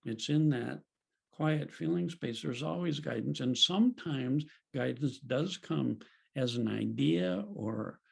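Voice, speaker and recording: A man leading a group video call as a spiritual teacher, speaking in a gentle, unhurried way and laughing softly now and then. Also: medium pitch, vocal fry, breathy edge. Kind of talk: reflective teaching talk.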